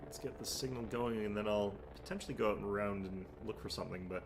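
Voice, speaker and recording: A man with a low, gravelly voice and a stuffed-up nose, muttering through a game session. He talks half to himself, with asides and small remarks while he plays. Faint keyboard typing and ambience sit behind him. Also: fast, background noise. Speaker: streamer. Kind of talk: casual gameplay commentary.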